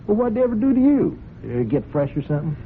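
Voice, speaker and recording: A man's voice: high-pitched, very animated, quick pace. A sly country blackmailer in an old radio play, on a muffled, noisy recording. His folksy voice swings up and down widely, all false friendliness and hinted threat. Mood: sly, accusing. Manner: insinuating, falsely amiable, chuckling, hesitant phrasing. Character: sly, folksy male horse-farm hand turned blackmailer. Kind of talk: radio drama acting.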